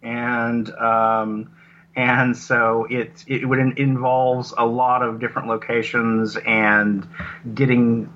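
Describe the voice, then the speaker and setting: Bright-voiced man, halting, slightly muffled recording. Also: mid-range voice, little pitch movement, slight background noise. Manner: halting, often repeats words and restarts. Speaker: radio drama writer and producer, interview guest. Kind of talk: casual interview chat.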